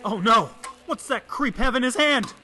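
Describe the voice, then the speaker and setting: Man as high, excitable radio newspaperman. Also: moderate pace, music in the background. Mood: panicked. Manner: gee-whiz, exclamatory. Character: excitable, naive, chipper newspaper reporter and husband. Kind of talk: old-time radio drama acting.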